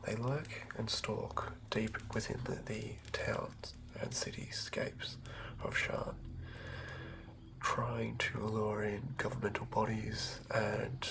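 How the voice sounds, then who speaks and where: A man giving a whispered, improvised ASMR narration as a game master, close to the mic, murmuring in a halting way as he thinks aloud. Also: on the low side, completely flat delivery, creaky, poor audio.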